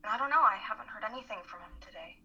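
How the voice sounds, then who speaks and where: A woman's voice: a little high, lively intonation, fast talker, pushed and bright, breathy edge, full character voice. A young woman playing a caring, worried and insistent college student in a dramatic play reading. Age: young adult. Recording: muffled, telephone-like remote call audio.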